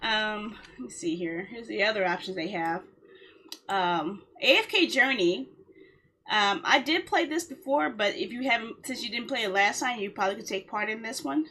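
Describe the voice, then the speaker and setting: A woman's voice: mid-range voice, normal speed, pushed and bright, a little hiss or hum. A woman game streamer chatting casually on a livestream in a playful, rambling way.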